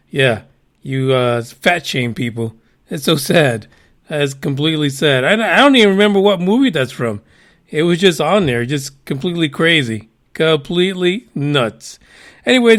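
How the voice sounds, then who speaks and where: A man's voice: fairly high pitch, fairly bright tone. A man hosting a casual news podcast, cursing freely, laughing at himself and drifting into rambling asides. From United States. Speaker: podcast host.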